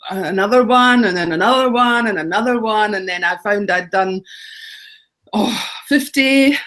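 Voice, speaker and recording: A woman's voice: mid-range voice, fairly bright tone. Chatty Scottish woman, middle-aged. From Scotland. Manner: chatty, rambling, repeats phrases, frequent ums and laughs. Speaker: guest, home exchange author. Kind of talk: casual interview talk.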